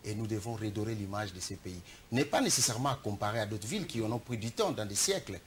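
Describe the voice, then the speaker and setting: A man's voice: on the low side, animated, quick pace, slight background noise. A Congolese man with a Congolese French accent, a political candidate answering interview questions earnestly. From Democratic Republic of the Congo. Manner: defensive, earnest, speechmaking. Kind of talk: studio interview.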